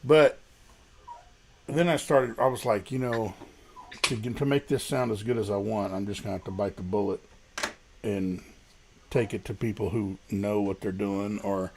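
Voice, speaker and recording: Man, deep voice, guest on a live chat, rambling, self-deprecating. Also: steady tone, a little slow, vocal fry, noisy background. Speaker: guest musician. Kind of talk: casual livestream chat.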